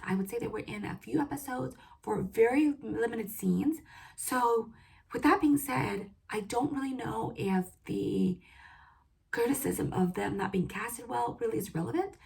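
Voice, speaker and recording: Hoarse woman reviewing a show. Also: a little high, a little mellow. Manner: casual, opinionated, with frequent "um" and "like". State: hoarse, losing her voice from a cold. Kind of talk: solo review monologue.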